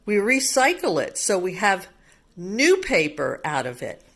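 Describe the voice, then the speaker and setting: A woman's voice: mid-range voice, wildly swooping pitch, a little slow, a bit bright. A woman reading a picture book aloud to young children like a teacher, explaining simply with repeated words and little questions. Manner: simple child-directed talk with repetition and questions. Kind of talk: read-aloud storytime with simple asides to children.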